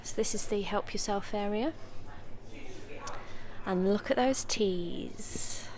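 Softly whispering woman with a croaky voice. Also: mid-range voice. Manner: slow, gentle, partly whispered. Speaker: ASMR vlogger giving a tour. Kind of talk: soft-spoken whispered tour. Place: empty indoor café with people chatting nearby. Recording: background chatter from a nearby meeting.

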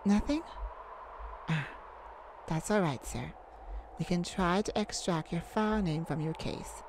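Woman acting as celestial caseworker, unhurried, medium pitch, polite, apologetic, flustered, ASMR roleplay, noisy ambience behind. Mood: gentle, official. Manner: courteous, apologetic, hesitant. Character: polite, flustered, thousands-year-old celestial afterlife caseworker.

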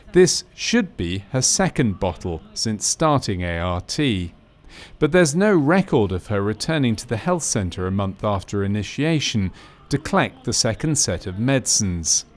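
A man reading scripted narration for a medical training film in a measured, matter-of-fact way. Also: medium pitch.

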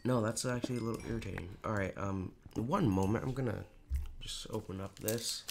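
A teenage boy talks through his game in a hoarse, raspy voice that sits a little low and keeps sliding into gravelly fry. He rambles along with plenty of fillers in a casual, chatty way. Keyboard clicks come through clearly behind him. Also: brisk, noisy background. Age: teens. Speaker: gaming YouTuber. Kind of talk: casual Minecraft commentary.

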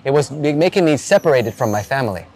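A man's voice: medium pitch, animated, quick pace, vocal fry, darkish tone. Man, 40s, street interviewer, earnest and probing, outdoors, faint ambient noise. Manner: earnest, probing questions, frequent restarts. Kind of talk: street interview about faith. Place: outdoors by the ocean.

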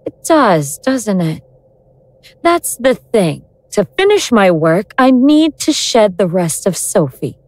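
A woman playing an awakened ancient goddess in an audio drama, speaking in a measured, sardonic, grandiose way with cold contempt. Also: medium pitch, big pitch swings, dry recording. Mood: menacing calm.

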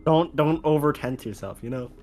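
A woman's voice: deep voice, normal speed, close to an everyday voice. A woman playing a confident, competitive young wizard in a Minecraft roleplay, bantering wryly. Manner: wry, bantering. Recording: music under the voice.

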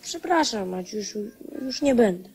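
A woman's voice: a little high, animated, normal speed, a little mellow, breathy edge, faint music behind, low-quality recording. A woman playing a restless young boy, cheeky and grumbling, in a radio drama. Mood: apologetic.

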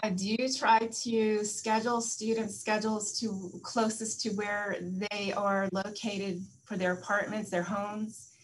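A woman's voice: medium pitch, little pitch movement, slow pace, hardly any pauses, rough recording. A middle-aged woman in an office explains her college programs over a webcam, repeating "in other words". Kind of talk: answering questions. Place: office indoors. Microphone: video call webcam.

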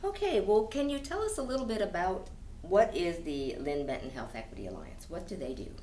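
Low-voiced woman hosting, echoey sound. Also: a little fast, far from the mic, slight background noise. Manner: calm, attentive interviewer with soft backchannels. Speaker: host. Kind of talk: radio interview hosting. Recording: echoey room with faint music.

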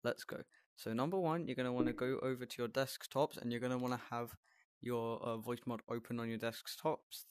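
A man's voice: medium pitch, no room sound, studio quality. A man narrating a casual step-by-step tutorial as the host of a how-to video.

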